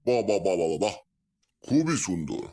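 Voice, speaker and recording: A man's voice: low-pitched, sing-song, brisk, crowd noise. Man doing a nosy genial older uncle, comedy cartoon, super easily impressed. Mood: impressed, inquisitive. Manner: approving, inquisitive, repeats exclamations. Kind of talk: comic cartoon dialogue.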